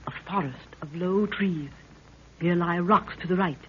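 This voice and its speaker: A woman's voice: on the low side, measured pace, close to an everyday voice. An actress playing a proud, commanding queen with solemn archaic speech in an old radio drama. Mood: grave, stately. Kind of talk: 1930s radio drama acting. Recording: dull, noisy 1930s radio recording.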